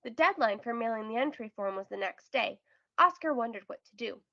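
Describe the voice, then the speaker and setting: A woman narrating a children's storybook aloud with careful, expressive storytelling. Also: fairly high pitch, brisk, creaky, no room sound, lo-fi sound. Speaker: storybook reader.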